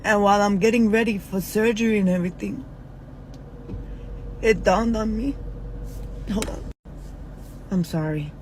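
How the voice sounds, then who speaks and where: A woman in her forties speaking in a frank, halting personal video, with many fillers and pauses. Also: mid-range voice, fairly even intonation, slight background noise, rough recording. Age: 40s. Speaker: social media poster.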